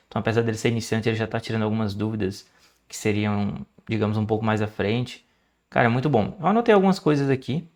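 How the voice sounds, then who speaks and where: Young man, Brazilian Portuguese accent, chatty study-vlog host, fillers and restarts, mid-pitched voice. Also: creaky. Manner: chatty, many fillers and restarts, thinking aloud. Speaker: language learner and channel host. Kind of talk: casual screen-share study vlog.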